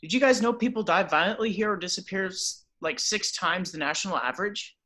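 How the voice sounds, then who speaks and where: Guy reading a shy nerdy teen boy, hesitant, high bright voice. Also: fairly quick. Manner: hesitant, earnest. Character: shy, bookish teenage boy. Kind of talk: online table read.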